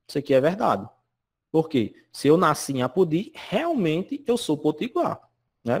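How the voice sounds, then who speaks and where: Lively, chatty man teaching a lesson. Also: on the high side, slightly rough. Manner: chatty, constantly checks in with "né?" and "certo?". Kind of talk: recorded lesson explaining slides.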